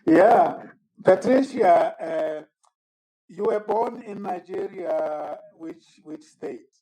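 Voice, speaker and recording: A man hosting a formal podcast interview. He is courteous and measured, often repeating words as he introduces his guest. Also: sits high, animated, dark tone, rough recording. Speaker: host and moderator.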